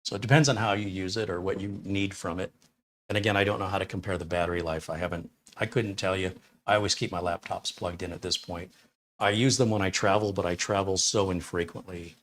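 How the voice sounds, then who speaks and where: Low-voiced, chatty older tech reviewer man. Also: fairly even intonation, fairly quick, a bit bright, slight background noise. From Detroit, USA. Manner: rambling, talkative, blunt opinions. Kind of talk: casual live-stream chat answering viewer questions. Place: small home studio room.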